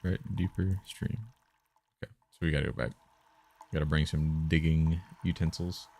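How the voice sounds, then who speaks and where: Very deep, gravelly-voiced man reading aloud. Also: steady tone, moderate pace, dark tone, slight background noise. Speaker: host of a live game reading stream. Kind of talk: reading game text aloud, casual asides.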